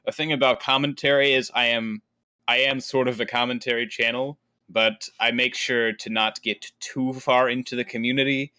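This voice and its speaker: A man speaking as a guest creator in a casual podcast interview, explaining himself in a measured way. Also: medium pitch, steady tone, sharp tone, smooth. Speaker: guest content creator and commentary YouTuber.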